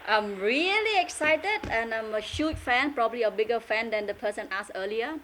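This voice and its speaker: A woman working as a radio DJ, interviewing in a casual, excitable, joking and giggly fan-like way. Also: fairly high pitch, moderate pace.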